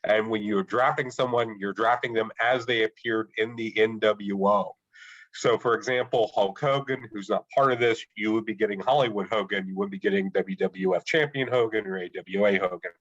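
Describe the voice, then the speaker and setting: A man's voice: fairly low pitch, moderate pace, a bit bright, dull audio. A man co-hosting a casual wrestling podcast, stuffy from a cold and thoughtfully weighing his choices. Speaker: podcast co-host.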